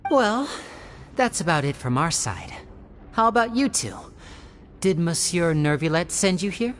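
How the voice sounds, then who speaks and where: An actress playing a composed young female agent in a video game, explaining things in a measured, matter-of-fact way. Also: fairly low pitch, animated. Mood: composed, businesslike.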